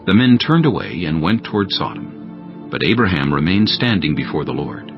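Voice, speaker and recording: Deep, gravelly male scripture narrator. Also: big pitch swings, moderate pace, lo-fi sound, music in the background.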